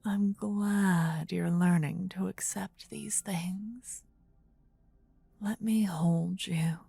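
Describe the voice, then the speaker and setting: A woman playing a possessive, tender demon queen in a fantasy ASMR roleplay, with a soft breathy whisper and an intimate, regal, coaxing manner. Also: lowish voice, steady tone, drawn-out words, no echo, exaggerated voice. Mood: tender, protective.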